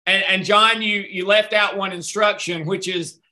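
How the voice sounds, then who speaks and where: Bright high-voiced man answering questions. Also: fairly even intonation, moderate pace. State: a little hard of hearing. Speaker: guest speaker answering questions. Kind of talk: casual Q&A session.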